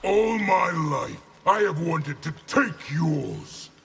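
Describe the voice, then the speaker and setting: An actor playing a resentful, menacing warlord general in a video game, with a gravelly voice and hostile, forceful delivery. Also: male voice, a little high, expressive, slow pace, noisy background, heavily acted. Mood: bitter.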